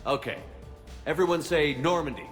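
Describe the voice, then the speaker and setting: A man's voice: a little high, moderate pace, natural voice. An actor playing an easygoing male military commander in a video game, talking in a casual, friendly, direct way. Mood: relaxed, hearty. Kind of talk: video game character performance. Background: prominent music.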